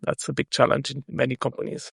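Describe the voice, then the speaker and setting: A man from Romania, a freelance software engineer, talking as a guest in a casual interview, thoughtful and full of "you know" fillers. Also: medium pitch, monotone, quick pace, vocal fry, slightly rough, no room sound, clean studio sound.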